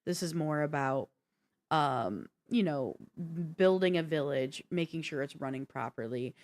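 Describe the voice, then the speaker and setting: A woman's voice: sits low, animated, a little slow, a little mellow. A woman co-hosting a video game podcast, chatting casually and explaining things in an informal way. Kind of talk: casual gaming talk show chat.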